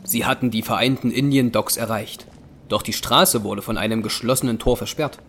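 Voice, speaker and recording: A man's voice: medium pitch, steady tone, normal speed, a bit bright, soft background music. A man narrating an audio drama from a script.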